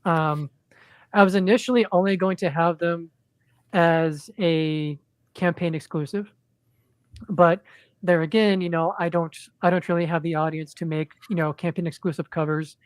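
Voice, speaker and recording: Monotone man rambling on a podcast. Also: a little high, normal speed, smooth, no echo. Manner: monotone, rambling with many ums and restarts. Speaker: podcast guest, indie comic creator. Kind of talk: casual podcast chat.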